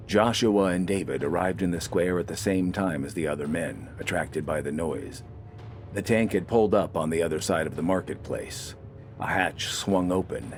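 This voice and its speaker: A man reading a scripted dramatic story in a measured, deliberate storytelling manner. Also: low voice, a little mellow. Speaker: audiobook narrator.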